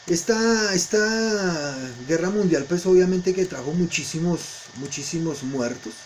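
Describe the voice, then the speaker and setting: A man's voice: sits high, unhurried, slight background noise. A man teaching a history lesson to students in an informal lecture style, explaining didactically and often checking in with short questions.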